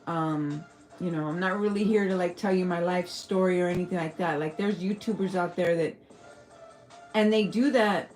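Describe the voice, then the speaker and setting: A woman's voice: lowish voice, moderate pace, darkish tone. A woman from El Paso with a Texan accent, chatting casually on a livestream, rambling and swearing freely. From El Paso, Texas. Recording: faint music behind.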